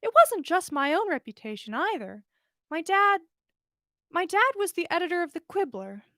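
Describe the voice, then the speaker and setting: A woman's voice: very high-pitched, big pitch swings, moderate pace, fairly bright tone, no room sound, clean studio sound, voice pushed up, exaggerated voice. A woman voicing a dreamy, earnest young woman with a solemn, honest manner in a story reading. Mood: wistful, earnest. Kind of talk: fan fiction story reading.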